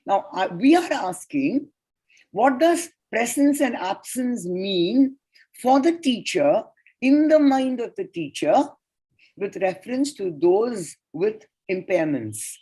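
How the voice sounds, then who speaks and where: A woman whose first language is Tamil, presenting an academic paper at an online conference in a measured, deliberate way. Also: medium pitch, big pitch swings, warm tone, breathy edge, rough recording. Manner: measured, deliberate lecturing with enumerated points.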